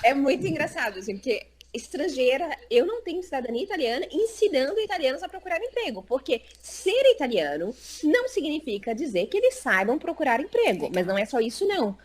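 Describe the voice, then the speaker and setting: A Brazilian career consultant speaks on a video call, chatty and full of fillers. Her pitch sits a little high and swings widely as she talks. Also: fast talker, hardly any pauses. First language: Portuguese. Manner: chatty, lively, lots of fillers like "então, assim". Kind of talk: casual live interview.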